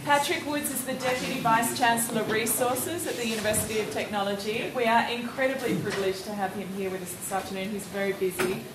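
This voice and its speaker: A woman's voice: a little high, steady tone, fast talker, few pauses. Woman host introducing a speaker in a hall. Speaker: symposium host. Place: lecture room or studio space. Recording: roomy hall from far off, noisy.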